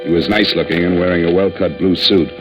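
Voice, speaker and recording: Noir radio lead, male actor as a sardonic insurance sleuth: deep, dry delivery, music behind, old muffled recording. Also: steady tone, quick pace, lightly acted. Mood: dry narrating. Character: wry, hard-boiled male insurance investigator. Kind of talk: 1950s radio drama, first-person noir narration.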